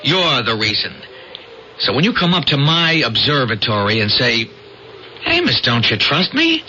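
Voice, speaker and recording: An actor playing a boastful, jealous young astronomer with jittery bluster in an old-time radio drama. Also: male voice, medium pitch, very animated, normal speed, bright, forceful tone. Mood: bitter. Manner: sardonic, stammering when rattled. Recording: dull, noisy old radio recording.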